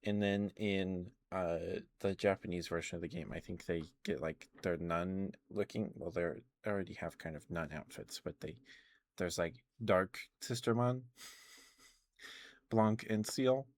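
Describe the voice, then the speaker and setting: A man's voice: low voice, a little slow, no room sound. A man streaming casual ASMR chat about video games, rambling and hesitant as he trails off and corrects himself.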